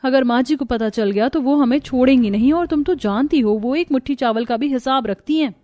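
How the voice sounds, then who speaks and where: A woman's voice: high voice, brisk, warm tone, dry recording, lightly acted. A woman voicing a worried, dutiful young daughter-in-law in a cartoon story, speaking politely and pleadingly. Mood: wistful. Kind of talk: Hindi cartoon moral-story dialogue.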